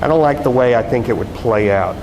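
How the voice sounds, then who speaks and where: A man voicing a sly, wisecracking woman TV reporter with a brisk, wry manner, reading from a novel. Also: medium pitch, dull audio, lightly acted. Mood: brisk, frank. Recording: echoey hall with loud background noise.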